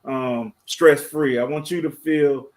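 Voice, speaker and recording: A man's voice: on the high side, steady tone, slow. A man hosting a casual talk show, giving earnest advice in a colloquial way. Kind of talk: casual chat.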